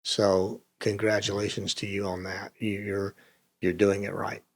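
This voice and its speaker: A man's voice: lowish voice, little pitch movement, unhurried. A man hosting a podcast interview in a conversational, affirming and emphatic way.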